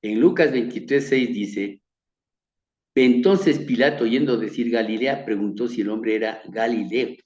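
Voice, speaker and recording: Slow, earnest Ecuadorian man teaching scripture. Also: mid-range voice, reverberant, muffled recording. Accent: Ecuadorian, from Quito. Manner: measured and earnest, repeats words, trails off softly at phrase ends. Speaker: Bible teacher. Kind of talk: slow sermon-like slideshow lecture.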